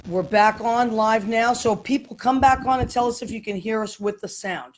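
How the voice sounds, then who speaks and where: A woman's voice: fairly high pitch, normal speed, slight background noise. A woman hosting a live online talk show, chatting with guests and greeting viewers as they join. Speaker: host. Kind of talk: casual live interview chat.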